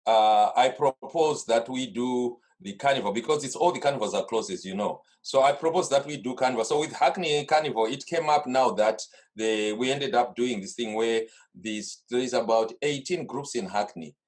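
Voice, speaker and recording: A man's voice: mid-range voice, normal speed, few pauses. A middle-aged man from Zimbabwe with a Zimbabwean accent, talking casually and at length in an interview over a video call. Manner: rambling storytelling, many restarts. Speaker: carnival organiser, guest. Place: home studio desk.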